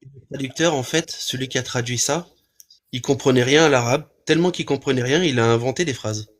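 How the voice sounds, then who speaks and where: A man hosting a live stream, calmly arguing a point with many hesitations and restarts. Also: medium pitch, fairly quick. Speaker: livestream host. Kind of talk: casual debate on a live stream.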